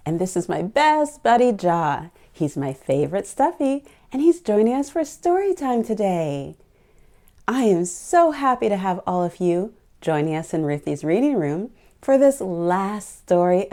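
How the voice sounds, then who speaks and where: A woman with a soft-edged, mellow voice hosts a read-along for small children. She takes her time at an unhurried pace. Her delivery is encouraging and full of exclamations and simple questions for the young audience. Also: lowish voice, sing-song. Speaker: children's storytime host.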